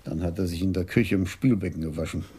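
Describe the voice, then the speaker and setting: An actor playing a courteous, observant older police commissioner with a measured manner in a radio crime drama. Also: male voice, low-pitched, fairly even intonation, warm tone, breathy edge, heavily acted. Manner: measured, polite, methodical.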